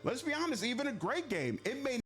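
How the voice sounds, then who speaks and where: A man giving conversational, explanatory opinion commentary as a video game reviewer, measured but emphatic on key words. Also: very high voice, sing-song, a bit bright.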